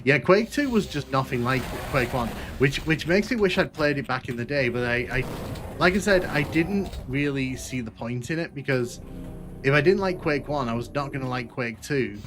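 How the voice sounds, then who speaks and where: A man's voice: medium pitch, animated, normal speed. A man from Northern England with a Manchester accent, chatting casually to viewers while he plays through a game on a live stream, rambling and correcting himself as he goes. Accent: Manchester English. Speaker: gaming streamer. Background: game music.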